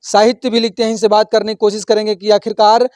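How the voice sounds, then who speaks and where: High-voiced male interview host. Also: level tone, darkish tone, clean tone, no echo, studio quality. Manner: brisk introduction, frequent affirming murmurs while listening.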